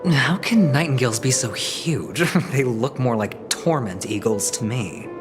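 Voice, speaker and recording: An actor voicing a cool, playful risk-taker in a video game, wry and teasing. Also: male voice, medium pitch, expressive, measured pace, music in the background, natural voice. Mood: teasing, amused.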